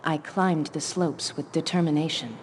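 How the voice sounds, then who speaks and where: A woman's voice: low-pitched, normal speed, very noisy, lightly acted. A woman voicing a solemn, determined female leader, narrating a game cutscene in a grave, formal manner. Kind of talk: dramatic scripted game narration. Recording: loud music under the voice. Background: cinematic game music.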